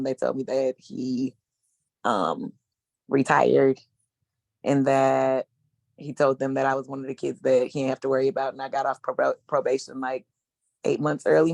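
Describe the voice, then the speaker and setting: A man in his thirties giving a frank, casual personal testimony as a panelist, with slangy speech and hesitant pauses. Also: medium pitch, vocal fry, no room sound. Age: 30s.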